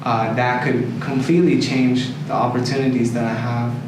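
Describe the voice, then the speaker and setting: A Canadian man's voice comes across a very echoey room, some way from the microphone, with clear noise around it. He speaks at a middling pitch, measured and deliberate, as a participant in a reflective group discussion. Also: little pitch movement. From Toronto, Canada. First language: English. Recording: echoey noisy room, distant mic.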